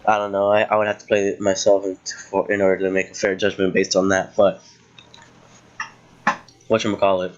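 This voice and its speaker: A man's voice: sits low, monotone, fairly quick, long pauses, highs cut off. A young man getting sick, ranting in casual voice-over commentary over gameplay, rambling with many fillers and restarts. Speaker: gaming channel host.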